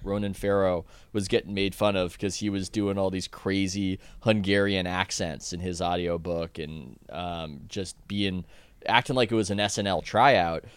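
A man's voice: on the low side, clean tone, dry recording. A man, an author guest on a podcast, talking casually in a rambling way with many restarts and self-corrections.

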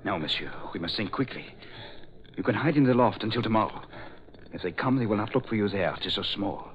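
An actor playing a calm, brave husband and father in a 1940s radio drama. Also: on the low side, fast, natural voice. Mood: firm, concerned. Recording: muffled, noisy old radio recording.

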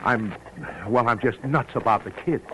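An actor playing a shy, earnest miner in an old-time radio drama, speaking hesitantly and apologetically. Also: male voice, mid-range voice, normal speed, fairly bright tone, close to an everyday voice. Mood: hurt, earnest. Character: shy, earnest, easily flustered male miner. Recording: noisy vintage radio recording.

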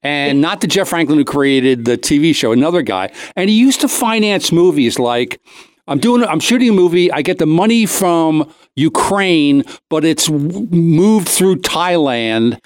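A man's voice: on the high side, lively intonation, measured pace, no room sound. A man hosting a talk show, telling a comic anecdote in a casual, rambling way, cutting himself off and acting out the dialogue. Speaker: show host.

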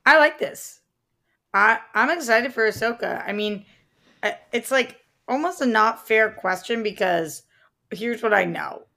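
Gravelly-voiced woman co-hosting a podcast. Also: a little high, expressive, a little slow, pushed and bright. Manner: loose, slangy storytelling. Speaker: podcast co-host. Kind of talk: casual podcast chat.

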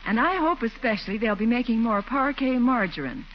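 An actress playing a polite homemaker in a scripted 1940s radio advertisement. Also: female voice, fairly high pitch, lively intonation, a little fast, natural voice. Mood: pleasant. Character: polite homemaker chatting about family food. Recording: muffled, slightly noisy old radio recording.

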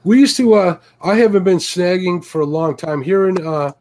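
A man's voice: high voice, moderate pace, a little mellow. A man from Chicago with a Chicago accent, hosting a fishing livestream in a rambling, self-correcting chat.

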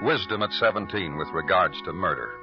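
Weary city detective, low voice, expressive swings, terse, vintage radio crime drama, dull recording. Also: male voice, a little fast, music in the background. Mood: weary, brooding. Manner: terse, brooding, poetic narration and blunt questioning. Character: world-weary male big-city police detective narrating his case.

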